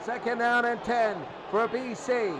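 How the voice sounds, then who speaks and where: High-pitched man calling football, repeating himself. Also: level tone, a little slow. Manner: repeats phrases for emphasis. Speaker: sports play-by-play commentator. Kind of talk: excitable American football commentary. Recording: loud crowd and ambient noise behind.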